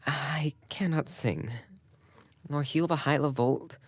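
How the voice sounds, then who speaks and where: Ardent young warrior-lover, female actor, very deep register, earnest Shakespearean verse, dry audio-drama sound. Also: slow, no echo. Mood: jealous pleading. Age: young adult. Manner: earnest, declamatory verse delivery.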